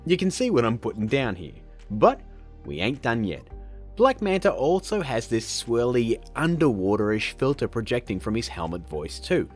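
A man hosts a casual software tutorial in an upbeat, playful way, tossing in joking asides. His pitch leaps about with great animation, and soft music sits faintly underneath. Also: big pitch swings, moderate pace, husky. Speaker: tutorial host.

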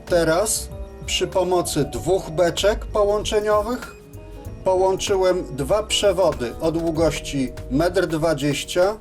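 A man's voice: sits high, slow pace, over music. A man giving scripted, methodical and matter-of-fact instructional narration as the presenter of a technical tutorial.

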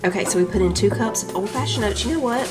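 A woman's voice: mid-range voice, fast talker, far from the mic, music in the background. A woman with a Southern US accent, hosting a home cooking vlog and chatting with viewers as she cooks. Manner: chatty, addresses viewers as y'all. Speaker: vlog host, home cook. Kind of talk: casual cooking vlog narration. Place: home kitchen.

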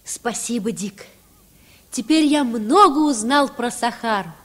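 An earnest, naive schoolboy in an old children's radio play. The voice is high and the delivery takes its time, full of wonder. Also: female voice, animated, a little slow. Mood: grateful. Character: schoolboy Petya, sixth-grader, naive, earnest, easily startled.

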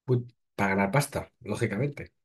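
A man's voice: fairly low pitch, moderate pace, slightly rough. A man, hosting and reading crypto news aloud, then breaking off with short blunt remarks. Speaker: host.

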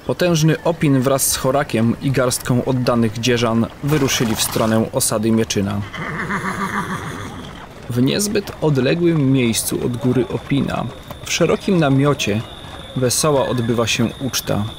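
A man's voice: medium pitch, unhurried, noisy background. A man narrating a scripted legend in a literary, dramatic storytelling manner.

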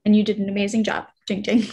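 Young woman answering casually, halting. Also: mid-range voice, completely flat delivery, creaky, mellow, slightly rough. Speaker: actor. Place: indoor room, video call. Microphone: video call.

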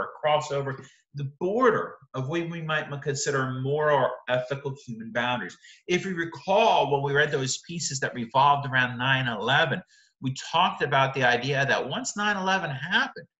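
A man's voice: mid-range voice, pushed and bright, roomy sound, poor audio. A man in his forties, a teacher giving a recorded lecture over slides in a conversational way, often checking in with "right?" and restarting his sentences.

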